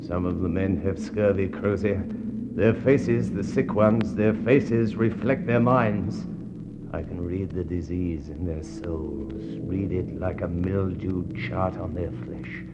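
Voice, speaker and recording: Slow, anguished doomed expedition leader. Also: male voice, deep voice. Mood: anguished, desperate. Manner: halting, repetitive, brooding delivery. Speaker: actor. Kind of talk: 1960s radio play acting. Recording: muffled, noisy 1960s radio recording.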